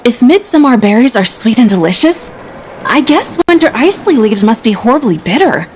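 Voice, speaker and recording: A woman's voice: on the high side, big pitch swings, moderate pace, slight background noise. A woman voicing a polite, curious young attendee at an adventuring lesson in a video game. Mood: thoughtful. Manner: polite, inquisitive. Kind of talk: video game character acting.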